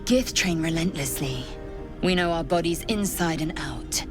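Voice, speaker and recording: A woman playing a stern, disciplined alien warrior in a video game, commanding and deliberate. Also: deep voice. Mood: commanding, intimate. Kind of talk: video game character dialogue. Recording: game music behind.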